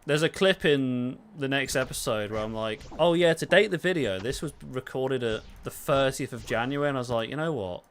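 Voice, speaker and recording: A man's voice: mid-range voice, moderate pace, few pauses, fairly bright tone, slight background noise. A man with a southern accent chatting casually and self-deprecatingly as he livestreams a game. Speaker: game streamer and YouTuber. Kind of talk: casual livestream chat while gaming.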